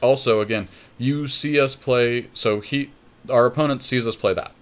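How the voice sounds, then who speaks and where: A man's voice: mid-range voice, normal speed, fairly bright tone, clear, resonant tone. A man co-hosting a podcast, giving casual card game commentary and thinking plays through aloud in a conversational way. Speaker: podcast co-host.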